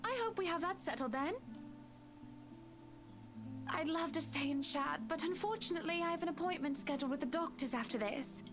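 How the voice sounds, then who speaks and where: Actress as rich, genteel socialite wife, high voice, sweetly bossy, visual novel drama over music. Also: female voice, animated, fairly quick, long pauses. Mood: gracious. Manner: polite, affectionate, genteel. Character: gracious wealthy married woman, sweetly bossy socialite.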